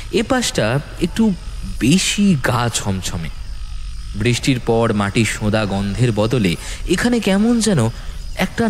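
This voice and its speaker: A man narrating a scripted horror story in a dramatic, suspenseful way with deliberate phrasing. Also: mid-range voice, slight background noise.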